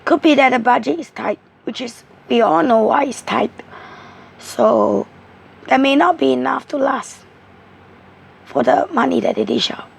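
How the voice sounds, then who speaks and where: A woman with a hoarse, strained voice slowly explaining tarot cards. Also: high-pitched. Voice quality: rough, strained voice. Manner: slow, halting, repetitive explaining. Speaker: tarot card reader.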